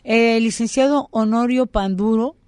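A woman's voice: on the high side, a little slow, a little mellow, no room sound. Mexican woman hosting, dry studio-like sound. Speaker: interview host. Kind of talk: conversational interview, reading audience questions.

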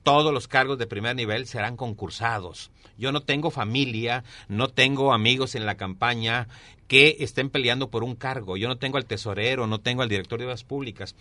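Bright-voiced middle-aged Mexican man. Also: medium pitch, brisk, no echo. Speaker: mayoral candidate and former newspaper columnist being interviewed. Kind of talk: interview answers, campaign pitch.

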